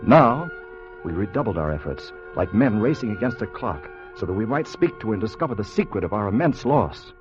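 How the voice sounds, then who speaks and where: An actor playing an earnest, excitable time traveler who tells his adventure intensely in a 1950s radio drama. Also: on the low side. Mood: tense narration. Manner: intense, deliberate storytelling. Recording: dull vintage radio recording with music.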